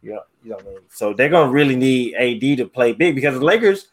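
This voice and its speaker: A man's voice: medium pitch, animated, fast. A man on a podcast panel, talking loosely about basketball and leaning on fillers like "you know". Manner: rambling, leans on "you know". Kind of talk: casual sports talk.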